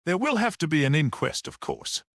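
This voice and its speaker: A man acts out a self-satisfied detective in a storybook mystery. He is grave and matter-of-fact, with animated intonation and a dry, close sound. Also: on the high side, normal speed, dry recording, pitched above the performer's own voice. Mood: grave reassurance.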